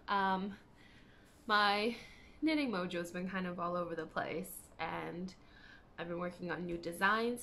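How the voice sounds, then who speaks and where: A woman in her thirties from Canada talks slowly and loosely to the camera in her living room. Her voice is hoarse from a cold and crackles with fry. A faint hiss sits under the recording. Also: fairly low pitch, a bit bright. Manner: chatty, meandering, self-correcting. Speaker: knitting podcast host. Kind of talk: casual chat to camera. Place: living room by a window, indoors.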